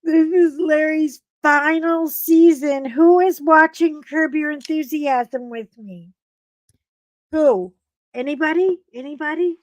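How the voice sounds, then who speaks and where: A middle-aged woman hosting a casual live stream, chatting to viewers and telling a story with a slightly froggy voice and big swoops of pitch on her greetings. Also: slow, no room sound, rough recording.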